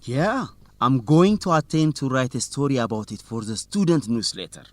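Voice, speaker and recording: A man's voice: mid-range voice, expressive, moderate pace, no room sound, close to an everyday voice. A man playing an earnest, confident young student reporter in an educational radio drama. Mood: earnest, reassuring.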